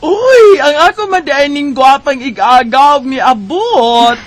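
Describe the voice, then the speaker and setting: An actress playing a cheerful young cousin in a radio drama, with a Filipino Bisaya accent. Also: female voice, high-pitched, expressive, slow, soft background music. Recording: very noisy amateur recording.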